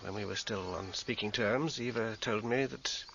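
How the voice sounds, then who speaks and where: An actor playing a struggling, articulate British composer in a radio drama, wordy and hesitant, turning agitated under pressure. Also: male voice, on the low side, moderate pace, vocal fry, a bit bright, muffled recording, a little hiss or hum. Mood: guarded. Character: struggling hack composer, defensive, articulate, evasive brother.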